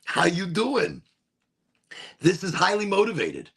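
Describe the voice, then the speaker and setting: A man hosts a relaxed livestream, his voice hoarse and worn from overuse. His tone sits a little high and crackles with a gravelly, husky grain. He rambles cheerfully, circling back and repeating himself as he chats. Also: unhurried. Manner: upbeat, rambling, repetitive. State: losing his voice. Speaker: livestream host. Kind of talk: casual livestream chat.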